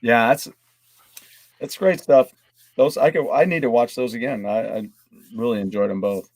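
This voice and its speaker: A man's voice: mid-range voice, normal speed, a little mellow, dry recording. A man chatting casually on a panel in an enthusiastic, rambling way. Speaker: podcast panelist. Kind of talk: casual chat.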